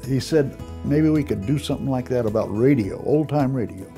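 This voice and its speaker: Very mellow, creaky-voiced man. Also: mid-range voice, expressive, brisk. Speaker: illustrator interviewed for documentary. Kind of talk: anecdote in documentary interview. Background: music.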